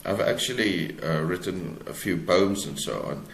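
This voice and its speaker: Male interview guest, South African accent, low-pitched voice, thoughtful halting delivery, roomy echo. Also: gravelly. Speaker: author, podcast guest. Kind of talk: conversational interview answers. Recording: echoey room sound.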